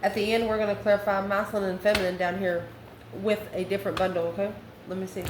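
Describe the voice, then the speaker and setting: Female tarot channel host, mid-pitched voice, off-the-cuff and musing, recorded in a roomy space with faint noise. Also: flat delivery, quick pace. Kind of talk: casual, improvised tarot reading.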